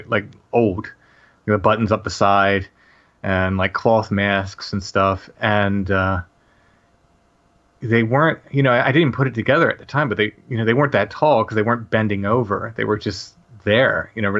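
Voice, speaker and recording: Low-voiced man telling a story haltingly. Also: fairly even intonation, a bit bright, muffled recording. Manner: halting, many restarts and fillers. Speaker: podcast guest. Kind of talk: casual storytelling.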